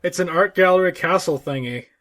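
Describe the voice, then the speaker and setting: A man with a high-pitched voice co-hosts a casual gaming podcast. He talks haltingly, thinking out loud and repeating words as he works toward his point. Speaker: podcast co-host.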